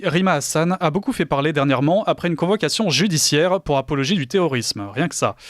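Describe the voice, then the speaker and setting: A man's voice: a little high, dry recording. A man delivers a scripted radio column in an emphatic, pointed tone. The studio sound is dry and close, with no room around the voice. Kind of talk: scripted political commentary.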